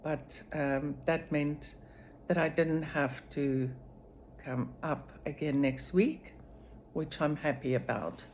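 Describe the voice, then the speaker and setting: A woman talks through a personal video diary in a deep, low voice. She speaks slowly and measuredly, pausing often between thoughts. A faint hum of room noise sits behind her. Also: steady tone, a little mellow. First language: Afrikaans. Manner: slow, measured, with frequent pauses and fillers. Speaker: vlogger sharing her recovery.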